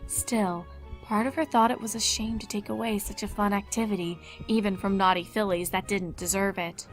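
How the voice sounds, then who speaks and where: A woman's voice: medium pitch, flat delivery, moderate pace, faint music behind. A woman reading fan fiction aloud as scripted story narration.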